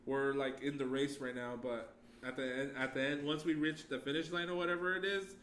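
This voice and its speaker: A man's voice: fairly high pitch, level tone, a little fast, a bit bright, a little hiss or hum. A young man hosting a casual podcast chat, easygoing and slangy, often ending lines with "bro.". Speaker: podcast host.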